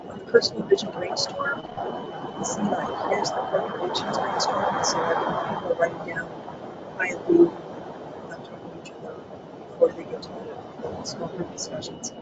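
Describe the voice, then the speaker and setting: A presenter running an online training session in a soft voice that doesn't carry far. The pitch rises and falls across a very wide range, and the talk stops often for ums. Heavy background noise sits under the computer-mic recording. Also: huge pitch swings. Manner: soft voice that does not carry, halting with many ums. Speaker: webinar presenter.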